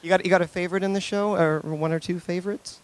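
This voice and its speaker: A man's voice: high voice, steady tone, fast talker. An American man in his thirties from Chicago, hosting a casual interview. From Chicago, United States. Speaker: interview host. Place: indoor cabaret venue. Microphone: handheld microphone.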